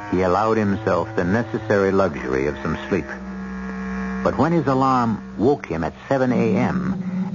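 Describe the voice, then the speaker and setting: A man's voice: on the low side, background noise. A man narrating a radio drama as its host in an ominous, theatrical manner. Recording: dull old radio broadcast. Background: loud music.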